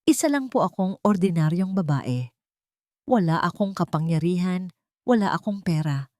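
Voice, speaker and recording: A woman's voice: fairly low pitch, lively intonation, normal speed, mellow, no echo, clean studio sound, close to an everyday voice. Woman playing a humble, wary older seamstress. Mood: confused, wary. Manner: polite, questioning, unsure.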